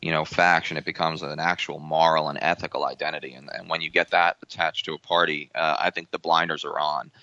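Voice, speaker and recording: A man giving an interview as a guest, earnest, with halting restarts. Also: very deep voice, steady tone, pushed and bright, dry recording, highs cut off. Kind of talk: interview answer.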